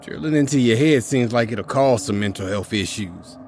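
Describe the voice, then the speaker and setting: A man's voice: medium pitch, animated, moderate pace, darkish tone, a little hiss or hum, close to an everyday voice. An actor playing a brash, blunt teenage boy who mocks his classmates in an audio drama. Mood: mocking. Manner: blunt, mocking.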